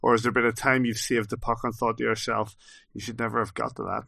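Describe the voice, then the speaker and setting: A man co-hosting a podcast, briskly reading out listener questions. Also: medium pitch, little pitch movement, vocal fry, sharp tone. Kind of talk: casual podcast chat.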